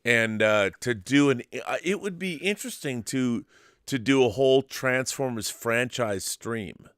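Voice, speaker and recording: A man's voice: mid-range voice, animated, unhurried, a bit bright, clean tone, no echo. Man chatting, close dry sound. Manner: persuasive, joking, informal with swearing. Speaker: live stream host. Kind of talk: casual late-night chat.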